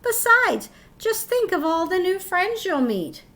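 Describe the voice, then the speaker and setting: A woman's voice: extremely high pitch, big pitch swings, unhurried, bright, forceful tone, pitched above the performer's own voice, exaggerated voice. A woman voicing a patient but exasperated parent in a children's picture book, coaxing firmly and emphatically.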